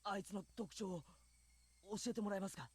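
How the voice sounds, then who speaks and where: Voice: medium pitch, very fast, a little mellow, breathy edge, dry recording, lightly acted. An actor playing an anxious, insistent teenage schoolboy in a Japanese visual novel. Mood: urgent questioning.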